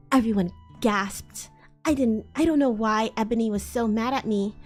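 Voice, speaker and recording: A woman's voice: on the high side, animated, unhurried. A woman streamer giving a theatrical, amused live dramatic reading of fan fiction. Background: faint music.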